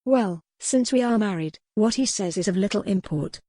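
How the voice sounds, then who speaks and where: A woman's voice: mid-range voice, expressive, normal speed, dark tone, dry recording, studio quality, natural voice. A woman voicing a proud, defiant young noblewoman, formal and imperious, in audiobook character dialogue. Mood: challenging.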